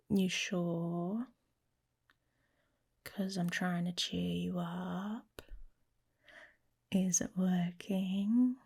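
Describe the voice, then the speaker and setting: An actress voices a devious, emotionally guarded young she-wolf in an intimate audio roleplay. Her delivery is unhurried and casual, with a wry edge. The voice is husky and breathy, full of soft creak, and often slips into a whisper. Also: on the low side, full character voice. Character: guarded, teasing young female werewolf, devious and prickly. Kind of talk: intimate ASMR roleplay acting.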